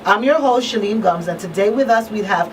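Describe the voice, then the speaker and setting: A woman presents a live radio talk show in a mid-pitched voice. She sounds a little away from the mic, with clear noise around her. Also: fairly quick, distant mic. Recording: off-mic, noisy room.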